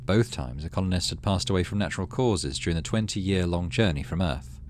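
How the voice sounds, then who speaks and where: A man hosting a gaming video, reading story text aloud and adding low-key, muttered asides in casual commentary. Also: fairly quick, slight background noise. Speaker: gaming channel host.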